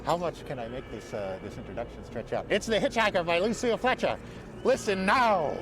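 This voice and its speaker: Guy hosting live show, kinda high voice, jokey and offhand, noisy recording. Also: animated, fairly quick. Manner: joking, offhand. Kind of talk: casual live introduction, ad-libbed. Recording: noisy live show pickup with background ambience.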